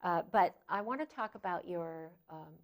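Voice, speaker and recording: A woman hosting a community TV interview show, encouraging her guest with easy, warm questions. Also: on the low side, expressive, moderate pace. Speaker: talk show host.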